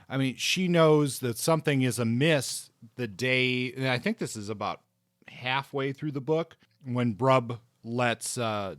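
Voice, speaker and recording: A man's voice: mid-range voice, fairly bright tone, dry recording, clean studio sound. A man co-hosting a book discussion podcast, talking casually and thinking aloud as he goes. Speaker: podcast co-host discussing books.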